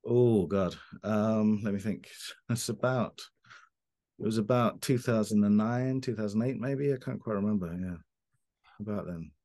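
Low-voiced man, halting and thoughtful. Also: dark tone. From Cornwall, England. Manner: halting, many fillers and restarts. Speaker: interview guest, philosopher. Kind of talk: casual video call interview. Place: home study lined with books. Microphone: video call webcam.

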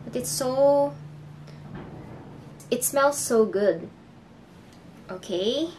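Filipino accented young woman vlogging in her bedroom, slow and chatty, hunts for english words, faint hiss behind. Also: high voice, animated, a little mellow. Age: young adult. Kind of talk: casual haul vlog. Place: bedroom indoors.

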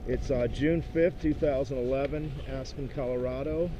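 A man's voice: medium pitch, steady tone, moderate pace. A man giving casual commentary while he test-skis, recorded on a helmet camera outdoors on a ski slope, joking in short remarks. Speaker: ski tester. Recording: dull lo-fi camera mic with wind.

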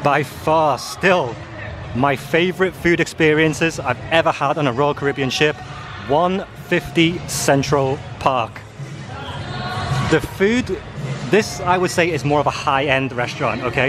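A man's voice: a little high, a little slow. A man giving a casual walking video tour as a travel vlogger, talking as he shows viewers around. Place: walking around a busy cruise ship, indoors and on open decks. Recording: busy, noisy public ship ambience.

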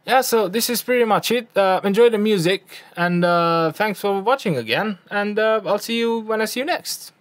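A young man with a fairly high voice talks casually through technical details as a video presenter. His delivery is unscripted and halting, with repeated words and frequent self-corrections. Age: young adult. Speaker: presenter of an audio gear video. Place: indoors, room at home.

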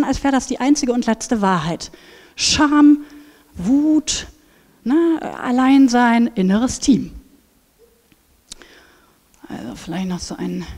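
A woman in her thirties on a headset mic, giving a lively conference talk and acting out inner voices. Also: medium pitch. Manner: animated, acts out inner voices. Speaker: speaker at a conference. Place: large brick hall with seated audience. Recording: reverberant hall.

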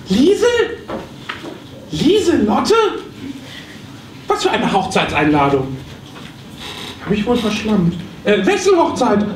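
A man's voice: extremely high pitch, exaggerated voice. A man playing a gossipy, flustered female receptionist on the phone in a comic live stage radio play, exclaiming and dithering. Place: small theatre stage. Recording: echoey, noisy live stage from far off.

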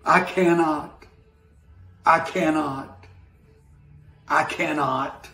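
A man voicing a tired, rusty old male engine who speaks in a weary, drawn-out way. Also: fairly high pitch, steady tone, sharp tone, far from the mic, faint music behind, full character voice. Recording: roomy, from the mic.

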